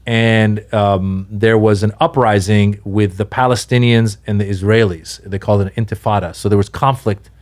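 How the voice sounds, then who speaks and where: Middle-aged man, casual podcast storyteller, animated delivery with sudden high jumps. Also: normal speed. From Iran. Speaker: guest comedian.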